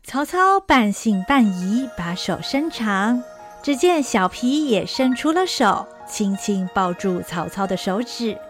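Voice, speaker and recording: A woman narrating a story in a gentle, expressive way. Her pitch travels widely and warmly across phrases. Clear instrumental music plays under her voice. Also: slow pace. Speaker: narrator and host.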